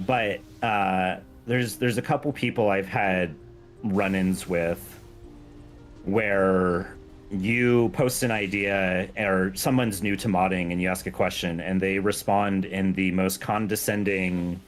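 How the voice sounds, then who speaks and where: A man's voice: low-pitched, fairly even intonation, slow, soft background music. A man talking casually and earnestly on a gaming stream as a guest, informal and self-effacing. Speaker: guest, game mod creator.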